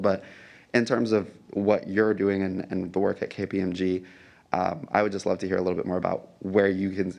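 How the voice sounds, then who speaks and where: A man puts a conversational question from the audience. His voice is very low, with a good deal of gravelly fry in it. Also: darkish tone.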